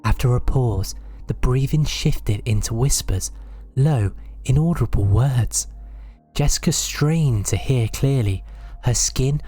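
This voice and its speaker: A man with a dark, relaxed voice reads scripted horror tales. His pitch sits in the middle range, and he tells each story in a measured, dramatic way, as a narrator. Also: breathy edge, soft background music.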